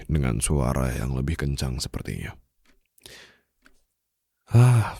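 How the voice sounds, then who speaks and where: A man playing a smitten, suave mafia boss in an intimate ASMR romantic roleplay, teasing softly and possessively close to the microphone in a low, gravelly, breathy voice. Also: dry recording, heavily acted. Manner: slow, soft, flirtatious teasing with formal wording. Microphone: close studio microphone.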